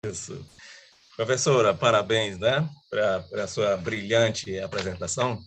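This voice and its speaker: Brief: male moderator, fairly low voice, formal and halting. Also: a bit bright, slight background noise. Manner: formal, hesitant with filler sounds.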